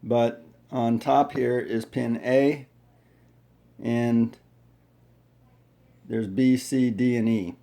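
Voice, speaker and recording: Male how-to presenter, unhurried and dark-toned, unscripted, explaining each step haltingly in an echoey room. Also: medium pitch, steady tone, vocal fry. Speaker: tutorial presenter. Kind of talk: hands-on how-to demonstration, unscripted.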